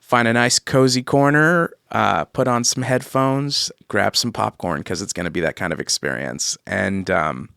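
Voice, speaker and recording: Lowish-voiced man chatting, dry close sound. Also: normal speed, vocal fry, few pauses, sharp tone, smooth, dry recording. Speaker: audio drama creator and show host. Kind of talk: casual conversational interview talk.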